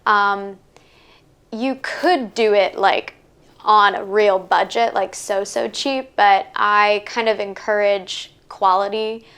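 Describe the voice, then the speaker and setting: Young woman guest, smooth clear voice, relaxed and chatty, filler-heavy. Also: medium pitch, slow. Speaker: podcaster and workshop guest. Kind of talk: casual interview answers.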